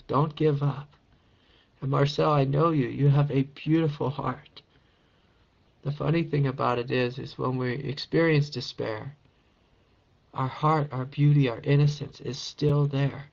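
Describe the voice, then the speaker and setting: A man with a raspy voice, a meditation teacher answering a question in a calm, encouraging spiritual talk. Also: mid-range voice, measured pace, highs cut off. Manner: gentle, calm and encouraging, with soft laughs.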